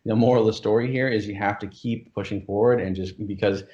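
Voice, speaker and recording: A man's voice: on the low side, fairly even intonation, fast, mellow, reverberant, muffled recording. A man hosting an interview, talking enthusiastically and filling his speech with "like" and "you know". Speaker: course creator, interview host. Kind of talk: casual interview over a call.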